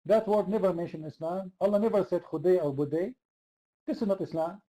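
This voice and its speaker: Man, debate host, high voice, very dark mellow tone, insistent, repeats himself. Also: brisk. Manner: insistent, repeats the same question. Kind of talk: heated online religious debate.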